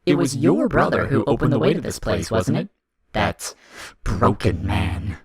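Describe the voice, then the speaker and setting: A man voicing a cold, mocking, demanding child of darkness in an audio story, speaking in formal, taunting, deliberate phrases. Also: medium pitch, sing-song, slightly rough, pitched above the performer's own voice, heavily acted. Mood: mocking, cruel. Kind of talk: dramatic audio fiction character reading.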